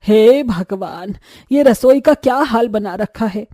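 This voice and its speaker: A woman's voice: a little high, slow pace, mellow, no room sound, clean studio sound. A woman voicing a stern, nagging, exasperated older mother-in-law in a cartoon.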